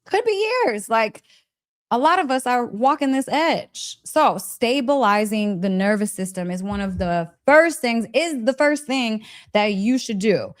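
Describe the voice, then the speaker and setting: A woman giving a casual, motivational wellness talk as a live coach, conversational and emphatic, often checking in with her listeners. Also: a little high. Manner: conversational, emphatic, frequent check-in tags, breaks into laughter.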